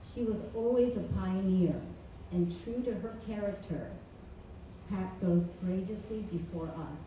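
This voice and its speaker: A woman reading a prepared eulogy at a memorial, measured and tender. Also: medium pitch, warm tone. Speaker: family member giving a eulogy. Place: memorial gathering in a large room. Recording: echoey room, distant mic, faint noise.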